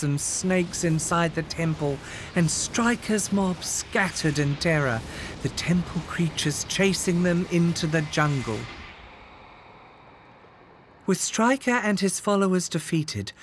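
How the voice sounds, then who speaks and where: A storyteller reading a children's fairytale aloud in an expressive, measured way, with dramatic scripted delivery. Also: mid-range voice, slight background noise. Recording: music under the voice. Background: background music.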